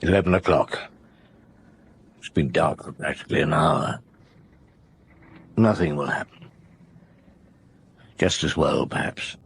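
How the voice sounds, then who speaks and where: An actor playing a weary, brooding chief inspector in a radio drama, pensive, terse and authoritative. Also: male voice, rumbling low, a little slow, creaky, long pauses, husky, full character voice. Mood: brooding, self-doubting. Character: brooding, weary male French chief inspector, middle-aged. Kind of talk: British radio drama acting. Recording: background vehicle noise and ambience.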